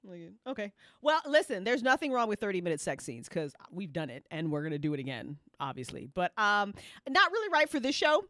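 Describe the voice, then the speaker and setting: A woman's voice: mid-range voice, huge pitch swings, fairly quick, fairly bright tone, no room sound, studio quality. A woman hosting a casual group chat in an informal, sweary way, often repeating phrases for emphasis. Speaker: after-show host and fiction podcast writer.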